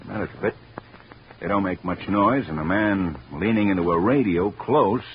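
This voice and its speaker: An actor playing a gruff, matter-of-fact police lieutenant in an old radio drama, blunt and brisk. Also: male voice, low voice, natural voice. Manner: blunt, brisk, tough-talking. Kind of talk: 1950s radio drama acting. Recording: muffled old radio recording, faint noise.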